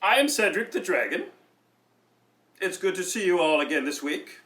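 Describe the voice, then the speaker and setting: A man voicing a grand, excitable dragon puppet who speaks in a dramatic, drawn-out showman's manner. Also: sits high, reverberant, exaggerated voice. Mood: welcoming.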